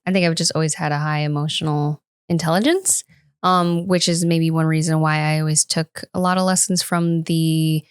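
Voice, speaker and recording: Clear, lowish-voiced woman in her early thirties. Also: dry recording, studio quality. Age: early 30s. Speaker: podcast co-host. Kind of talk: casual personal panel chat.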